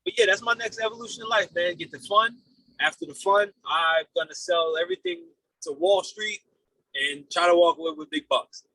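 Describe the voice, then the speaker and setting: A man's voice: high-pitched, a little fast, pushed and bright, no echo, rough recording. A man in his late twenties giving casual, earnest interview answers, rambling and repeating his phrases as he goes. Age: late 20s. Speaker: podcast guest, real estate investor.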